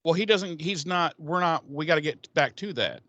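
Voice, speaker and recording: Bright-voiced man joking crudely. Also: on the high side, normal speed, no room sound. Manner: crude, sarcastic humor with swearing. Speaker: podcast co-host. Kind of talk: casual episode recap chat.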